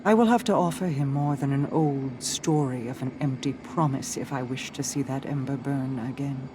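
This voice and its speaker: A woman voicing a calm, commanding alien queen in a video game cutscene, telling a solemn story in a measured way. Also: a bass voice, background noise. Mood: wistful. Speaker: queen character. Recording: game audio with loud score behind.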